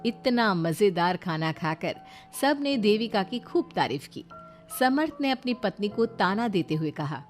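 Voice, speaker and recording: A woman's voice: medium pitch, big pitch swings, unhurried. A woman reading scripted story narration as the narrator. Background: background music.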